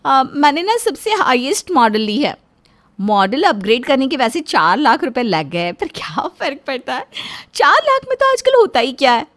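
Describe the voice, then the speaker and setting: Petulant young housewife, played by a woman narrator, high voice, dramatic nagging, cartoon moral tale. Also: very animated, normal speed, a bit bright. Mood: smug boasting. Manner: whiny, dramatic, insistent.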